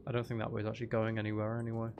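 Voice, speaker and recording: A man's voice: on the low side, monotone, rapid-fire, vocal fry, background noise. A man giving casual gameplay commentary, rambling and thinking aloud to himself as a gaming video host.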